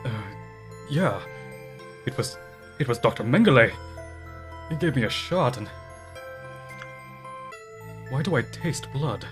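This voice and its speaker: A man's voice: medium pitch, lively intonation, slow, long pauses, faint music behind. An actor playing an earnest, shaken undercover cop in an audio drama, sincere in his delivery. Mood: dazed.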